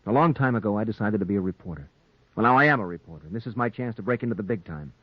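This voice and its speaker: Noir radio lead: brooding, driven male reporter, lowish voice, old muffled 1940s recording. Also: animated, rapid-fire, breathy edge, no echo. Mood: stubborn. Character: driven, guilt-ridden male newspaper reporter in a noir thriller. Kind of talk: 1940s radio drama acting and noir narration.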